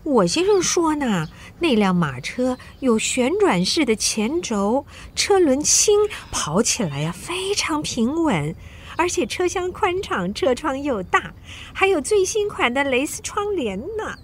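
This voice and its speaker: An actress in a radio drama playing a boastful, teasing French society wife with coy, theatrical, drawn-out exclamations. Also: female voice, high voice, big pitch swings, slight background noise. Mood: showing off.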